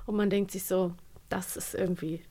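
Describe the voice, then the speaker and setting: A woman with a medium-pitched voice chats casually as a podcast co-host. She is enthusiastic and giggly in manner. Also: fairly even intonation, normal speed, mellow. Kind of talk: casual chat.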